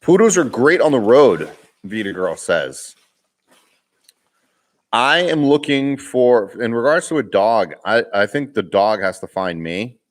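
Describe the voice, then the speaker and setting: A man's voice: mid-range voice, big pitch swings, measured pace. A young man with a Boston accent chatting casually on a live stream, reading comments aloud, laughing often and restarting his sentences. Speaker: vlogger hosting a live Q&A.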